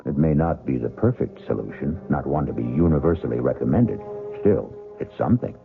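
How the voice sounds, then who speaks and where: Very deep, droll male radio host. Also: expressive, creaky. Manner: droll, theatrical, measured irony. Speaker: host of a radio mystery series. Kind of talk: wry scripted radio narration. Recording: tinny, narrow-band vintage radio broadcast. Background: music.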